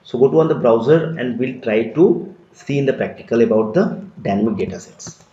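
Man, tutorial instructor, steady explanatory walkthrough, muffled lo-fi sound, roomy. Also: medium pitch, a little fast. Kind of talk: screen-recorded software tutorial.

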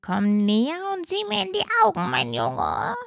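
Cackling witch crone, gravelly, very slow, wheedling, wildly swooping pitch. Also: huge pitch swings, exaggerated voice. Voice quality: creaky cackling old voice. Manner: wheedling, drawn-out. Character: wicked witch disguised as a creaky old woman. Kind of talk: German cartoon dub. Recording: music behind.

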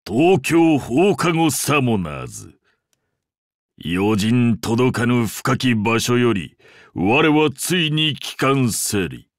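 A man voicing an ancient, imperious sea-god father in a Japanese game, speaking in a pompous, archaic and deliberate way with grand indulgence. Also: mid-range voice, sing-song, no room sound, studio quality. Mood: grandly triumphant. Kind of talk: Japanese game character voice acting.

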